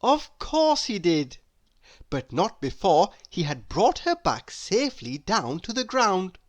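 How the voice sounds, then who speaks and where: A woman reading a children's story aloud expressively, addressing young listeners with dramatic pauses. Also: medium pitch, very animated.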